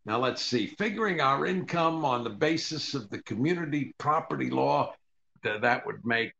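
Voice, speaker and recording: Older male actor as a put-upon radio sitcom husband, deadpan, sharp gravelly delivery, slightly dull recording. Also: medium pitch, moderate pace, a little hiss or hum. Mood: dry, patient. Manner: deadpan, hesitant with uhs. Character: dry, put-upon comedian husband doing income tax. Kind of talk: 1940s radio comedy acting.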